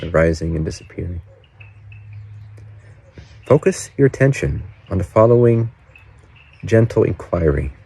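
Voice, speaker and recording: A man leading a guided meditation in gentle, unhurried short phrases with pauses between them. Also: low voice, slightly rough. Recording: birdsong and faint outdoor ambience behind. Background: doves, frogs, birds and distant cars.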